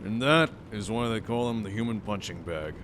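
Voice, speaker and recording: A man plays a stern academy official in a superhero audio drama. His voice is slightly low and measured. He is blunt and dry, with steady authority. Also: a little hiss or hum, lightly acted.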